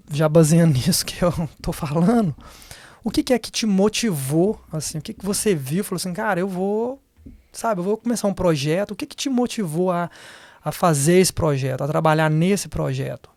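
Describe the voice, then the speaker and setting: Brazilian accent, podcast host, mid pitch, rambling casual interview questions, dry recording. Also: animated, moderate pace, a little mellow, dry recording. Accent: Brazilian Portuguese. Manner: rambling questions with many fillers.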